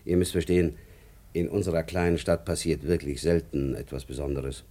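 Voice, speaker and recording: A low, deep voice of a good-natured policeman in a children's radio play. He talks like a fond uncle, deliberate and kindly as he tells his story. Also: level tone, dark tone. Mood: earnest storytelling. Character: fond, good-natured small-town policeman, grown man. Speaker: narrator character.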